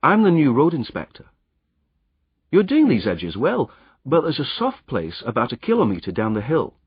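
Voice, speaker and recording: A man voicing a brisk, official young male road inspector, in a dull, dry recording. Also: mid-range voice, huge pitch swings, slightly rough, no echo, voice pushed up.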